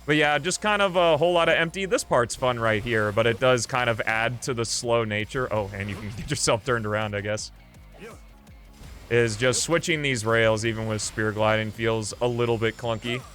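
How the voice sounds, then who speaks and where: A man streams a video game and talks through it casually. His voice sits at a middle pitch, and he rambles in an offhand way, thinking aloud. Faint game music plays behind him. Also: normal speed, fairly bright tone. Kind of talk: casual live gameplay commentary.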